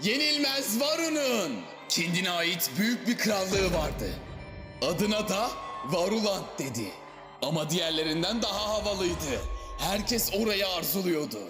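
Male actor as theatrical, gloating animated braggart; high register, reverberant sound, music bed. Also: unhurried. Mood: boastful. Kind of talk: animated comedy dub in Turkish.

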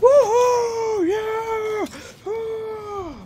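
A man voicing a rowdy young male Koopa kid who is hyped to crash a party, whooping and shouting. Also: very high voice, fairly even intonation, drawn-out words, noisy background, voice pushed up, full character voice.

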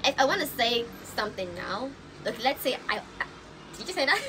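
A woman's voice: on the high side, big pitch swings, a little fast. A young woman with a Nigerian accent, chatting casually to camera in a get-to-know-me vlog, stammering and laughing as she talks outdoors. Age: young adult. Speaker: vlogger. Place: outdoors on a balcony above rooftops. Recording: outdoor background noise. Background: street ambience.

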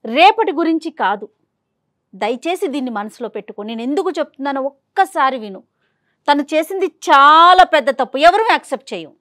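Middle-aged woman, high voice, dry studio sound, earnest persuasive host. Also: lively intonation, normal speed, pushed and bright, no room sound. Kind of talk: pleading counselling talk.

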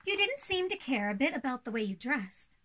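A woman's voice: sits high, sing-song, fairly quick, fairly bright tone, slight background noise. A young woman playing an elegant, proper older-sister princess in a children's storybook read-aloud, theatrical and poised. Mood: earnest, prim. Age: young adult.

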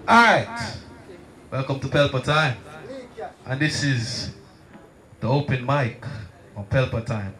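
A man's voice: mid-range voice, very animated, takes it slowly, long pauses, pushed and bright. A man, hosting an open mic and announcing on stage. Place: outdoor night stage with sound system. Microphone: handheld microphone. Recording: echoey event sound system.

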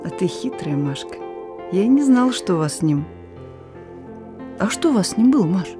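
A woman's voice: medium pitch, sing-song, music in the background, natural voice. An actress in a radio play voicing a bold, teasing young woman who is a wartime partisan messenger. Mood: frank, teasing. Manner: playful, teasing, brisk, sometimes singing softly.

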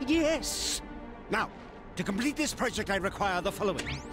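A man's voice: high voice, expressive, moderate pace. An actor playing a fussy, greedy male inventor who explains things in a precise, lecturing way. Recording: game cutscene with loud music.

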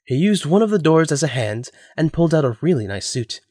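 A man's voice: on the high side, very animated, fairly quick, a little mellow, dry recording, studio quality. A man reading scripted story narration as the narrator of an audio drama.

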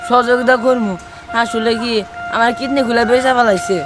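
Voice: mid-range voice, fairly even intonation, a little fast, close to an everyday voice. An actor playing a timid, wronged beggar who speaks hesitantly and deferentially, in an amateur short film. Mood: fearful. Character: timid, wronged male beggar pleading for justice. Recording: music behind the dialogue.

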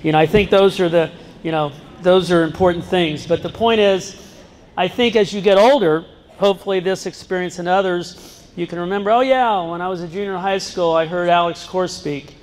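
A middle-aged man with a fairly high voice speaks earnestly into a handheld microphone, now and then breaking off to start a line again. His words ring out through a big gym with a long, lingering reverb. Rows of seated students fill the room as he talks to them. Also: fairly quick. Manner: earnest, breaks off and restarts, jokes with the audience. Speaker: guest speaker. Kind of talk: public talk to students. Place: large school gym. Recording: long reverb in a large hall. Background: seated student audience.

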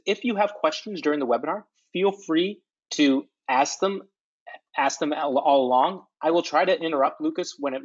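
A man's voice: a little high, no echo, muffled recording. A man hosting a technical webinar, friendly and lightly joking as he introduces his guest. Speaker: webinar host, data scientist.

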